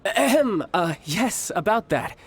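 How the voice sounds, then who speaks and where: A man playing a cocky, charming young fighter and doting older brother in a video game, speaking in a smooth, playful, theatrical way. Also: high voice, big pitch swings, takes it slowly, a little hiss or hum, exaggerated voice. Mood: smooth, evasive. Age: young adult. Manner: smooth, playful, theatrical, formal turns of phrase. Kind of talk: video game character dialogue.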